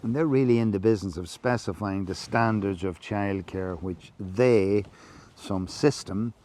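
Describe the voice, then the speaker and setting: An Irish man giving a measured, reflective monologue, explaining things slowly, with many fillers and restarts. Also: fairly low pitch, a little mellow, slight background noise. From Ireland. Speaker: podcast host.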